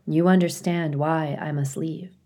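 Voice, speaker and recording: Woman as a low, gentle robot mother. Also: steady tone, measured pace. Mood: gentle, resigned. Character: gentle female robot mother, sad and devoted.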